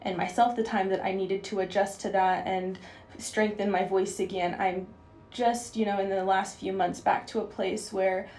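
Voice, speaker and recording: A woman of twenty-two, a guest singer on a radio show, explaining clearly and filling gaps with "you know". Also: mid-range voice, little pitch movement, moderate pace, darkish tone, echoey room, slight background noise. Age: young adult. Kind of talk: interview answers.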